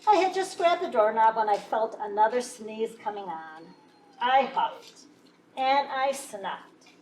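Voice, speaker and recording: A woman voicing an aggrieved, folksy male wolf who insists he is innocent, in an animated children's storybook read-aloud with drawn-out emphasis. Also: a little high. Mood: matter-of-fact, sly. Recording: echoey room, distant mic, faint noise.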